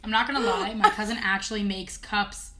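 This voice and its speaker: Teasing young woman, bright-voiced. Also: medium pitch, fairly even intonation. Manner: teasing, jokey, quick banter. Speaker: podcast co-host. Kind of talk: casual chat.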